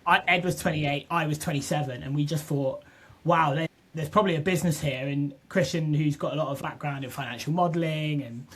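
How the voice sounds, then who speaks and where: A man's voice: a little high, fairly even intonation, a little fast, a bit bright. A young man from southwest England, a podcast guest talking casually and restarting his sentences often. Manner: chatty, restarts often, repeats words. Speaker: podcast guest, business co-founder. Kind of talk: casual interview chat.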